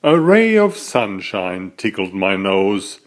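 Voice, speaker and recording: A man reading a children's story aloud as its author, in an expressive, deliberate storytelling manner. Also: low-pitched.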